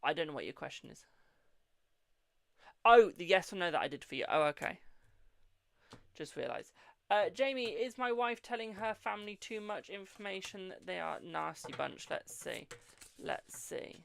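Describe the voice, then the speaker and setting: A man's voice: high-pitched, lively intonation, normal speed, creaky, pauses often, a bit bright. A man hosting a live-stream tarot reading, answering viewers' questions in a chatty, reassuring way and calling them "my darling".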